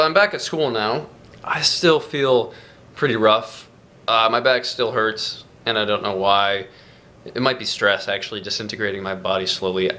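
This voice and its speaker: A man's voice: on the low side, a little hiss or hum. A young man talking casually into a camera at arm's length, rambling with lots of fillers in a small room. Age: young adult. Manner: relaxed, rambling with many fillers. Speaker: vlogger. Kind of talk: casual video diary. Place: small bedroom and dorm room indoors. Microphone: phone or camera at arm's length.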